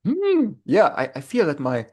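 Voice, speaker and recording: A man's voice: on the high side, wildly swooping pitch, normal speed, a little mellow. A man in his forties with a German accent, co-hosting a casual podcast chat in a joking, self-mocking way, stopping and restarting often. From Germany, grew up in East Berlin. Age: 40s. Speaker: podcast co-host, language teacher.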